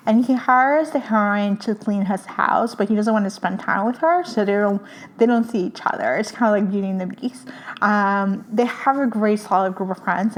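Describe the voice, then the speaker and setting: Chatty woman host. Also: on the high side. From Puerto Rico. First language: Spanish. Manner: enthusiastic, chatty, many fillers. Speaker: podcast host. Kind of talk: casual book recommendations.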